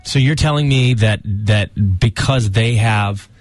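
A man's voice: lowish voice, measured pace. Needling American man on morning radio. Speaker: radio morning show co-host. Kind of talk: casual on-air banter.

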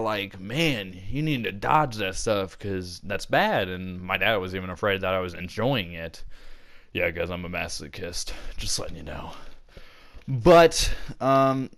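A man's voice: lowish voice, expressive, slow, fairly bright tone. A young man hosting a casual storytelling vlog, rambling and often interrupting himself mid-thought. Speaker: video channel host.

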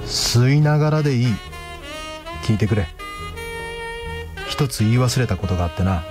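An actor playing a blunt, literal-minded male agent with a deadpan, earnest manner in a comedic radio drama. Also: mid-range voice, moderate pace, music in the background, close to an everyday voice. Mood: serious.

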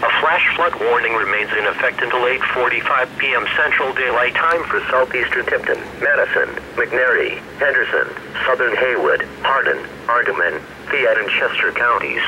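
A man's voice: medium pitch, normal speed, hardly any pauses, bright, forceful tone. A man's synthetic-sounding voice reading a formal, impersonal emergency weather bulletin from a radio speaker. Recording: noisy weather radio speaker, re-recorded in room.

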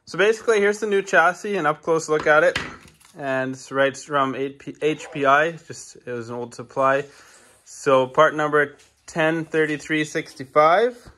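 A man in his forties hosting a casual hobby vlog, talking viewers through his work in a relaxed, chatty way from a sunroom at home. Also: fairly high pitch. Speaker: vlog host. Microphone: camera at a distance.

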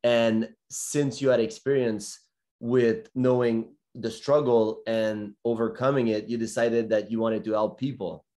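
A man's voice: mid-range voice, fairly even intonation, unhurried, warm tone. Casual, informal man hosting an interview. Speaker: podcast host, interviewer. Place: small bedroom indoors. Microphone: video call microphone.

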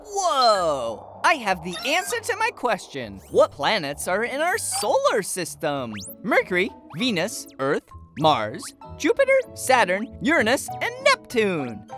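An actor playing an excitable, curious young boy explorer in an educational children's cartoon, with exclamatory, wide-eyed delivery. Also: medium pitch, measured pace, hardly any pauses, faint music behind. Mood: excited.

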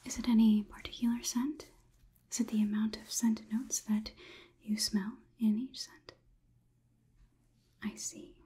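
A young woman playing a polite, condescending AI robot overlord in a slow, breathy ASMR whisper. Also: fairly high pitch, flat delivery, dark tone, close to an everyday voice. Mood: clinical, probing. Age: young adult. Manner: slow, soothing, clinical, patronizing. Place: small indoor room.

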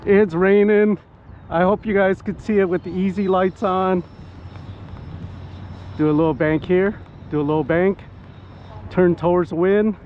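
High-voiced man, excitable hobby pilot outdoors. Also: a little slow, pauses often. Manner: excitable, whooping, talking to viewers while piloting. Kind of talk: casual live flight commentary. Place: outdoors at a flying spot in wind and rain. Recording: muffled outdoor audio with background noise.

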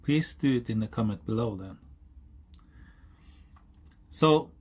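A man in his forties from Norway, speaking English with a Norwegian accent, explaining a hobby project in a halting, self-correcting way. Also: medium pitch, lively intonation, breathy edge. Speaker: hobbyist YouTuber. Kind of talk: casual DIY explainer. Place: outdoors on a hilltop, then indoors at a workbench.

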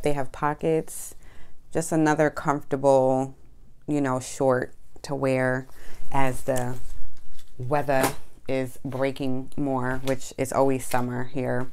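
A woman in her thirties chatting casually in a home vlog, relaxed and rambling, with frequent "um" and "y'all", recorded on a lapel mic. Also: a bass voice, clear, resonant tone. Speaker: vlogger and candle shop owner. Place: small home room with shelves. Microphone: clip-on lapel mic.

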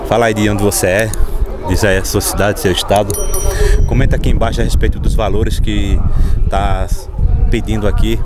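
A man's voice: lowish voice, fairly even intonation, moderate pace, very noisy. A man, vlogging at an outdoor cattle market, asking sellers prices and repeating them for viewers. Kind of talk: casual vlog walkthrough, asking prices.

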